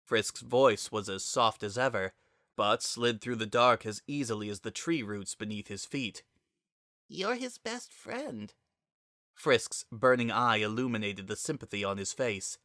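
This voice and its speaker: A man's voice: fairly low pitch, steady tone, moderate pace, a bit bright, dry recording, studio quality. A man reading a scripted story aloud as a dramatic narrator.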